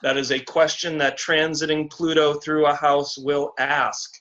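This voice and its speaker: A man giving a slow, deliberate, encouraging astrology reading on a video call. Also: on the high side, flat delivery, fairly bright tone. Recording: dull lo-fi video call audio.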